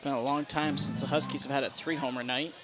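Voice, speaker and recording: A man's voice: medium pitch, fairly even intonation, quick pace, loud music behind the voice. A man giving live baseball color commentary in short, conversational observations. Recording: live broadcast over loud crowd noise.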